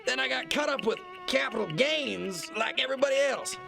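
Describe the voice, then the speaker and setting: A man's voice: very high voice, expressive, a little fast, vocal fry, over music, exaggerated voice. A man playing a failing, self-important kingpin in an absurdist audio drama, guilt-ridden and rambling, stammering and repeating his words. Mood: anxious confiding.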